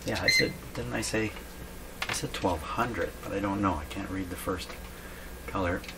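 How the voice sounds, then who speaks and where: Very low male voice, casual repair commentary, musing aloud, self-questioning, clearly noisy workshop recording. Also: normal speed. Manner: musing, asks himself questions. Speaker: radio repair hobbyist and video host.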